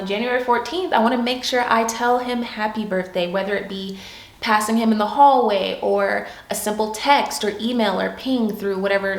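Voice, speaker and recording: A young woman giving casual, upbeat career advice in a chatty vlog style, with lots of "like"s. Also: on the high side. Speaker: YouTube creator, product and project manager. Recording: echoey room.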